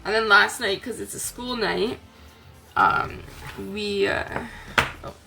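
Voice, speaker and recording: A tired woman with a raspy voice, chatting casually as a crafting host, rambling gently. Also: medium pitch, takes it slowly, over music, low-quality recording. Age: adult, aunt. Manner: rambling, chatty, soft-spoken. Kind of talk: casual chat to camera.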